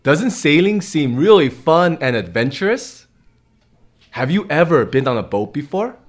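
A man's voice: fairly high pitch, big pitch swings, a little slow. A man in his thirties hosting a children's storytime, reading aloud expressively and speaking directly to young listeners.

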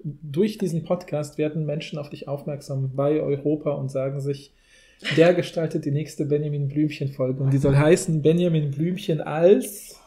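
A man hosts a chatty interview podcast. His voice sits a bit high for a man and has a soft, mellow tone. He phrases his questions casually, as in friendly conversation. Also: fairly even intonation, moderate pace. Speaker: podcast host. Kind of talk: casual chat, asking questions.

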